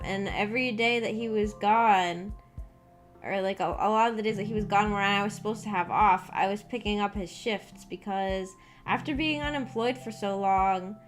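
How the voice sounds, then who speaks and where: A woman streamer chatting casually with viewers, telling stories in a rambling, hesitant way and reading out comments as she goes. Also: on the high side. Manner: rambling, hesitant storytelling with frequent "like". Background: background music.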